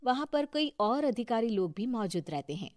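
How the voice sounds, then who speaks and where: A woman's voice: on the high side, big pitch swings, moderate pace, no echo, clean studio sound. A woman narrating a scripted moral story for a cartoon video.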